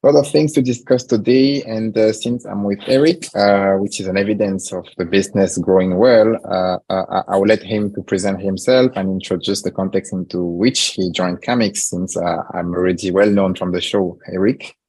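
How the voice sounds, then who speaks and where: Low husky male founder, measured and filler-heavy podcast guest. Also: steady tone, darkish tone, rough recording. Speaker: startup founder and CEO. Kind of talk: podcast interview.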